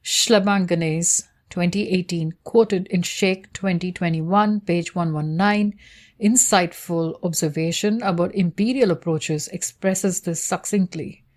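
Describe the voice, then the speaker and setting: A woman whose first language is Tamil, reading her academic thesis aloud in a measured way, citations and page numbers included. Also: lowish voice, a little mellow. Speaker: researcher explaining her thesis.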